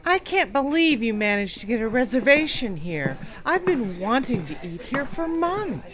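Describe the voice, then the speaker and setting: A woman's voice: fairly high pitch, sing-song, normal speed. A woman playing a friendly kindergarten teacher. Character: cheerful young female kindergarten teacher.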